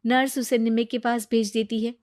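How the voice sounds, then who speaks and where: A woman tells a moral story at a steady, even storytelling pace, her voice at an ordinary middle pitch. The recording is dry and close, with no room sound. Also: fairly quick, dry recording. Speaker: narrator. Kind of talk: scripted story narration.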